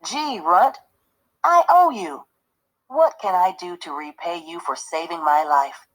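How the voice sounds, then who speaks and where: A woman's voice: on the low side, animated, measured pace. A woman voicing a scared, helpless older brother in a storybook, a boy pleading and short of breath. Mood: grateful, relieved. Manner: gasping, pleading.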